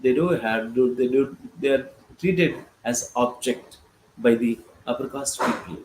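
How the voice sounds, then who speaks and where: A man from India with an Indian accent, whose first language is Gorboli, speaking as a panelist in a halting way with many fillers and restarts. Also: medium pitch, reverberant, noisy background. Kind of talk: panel discussion talk.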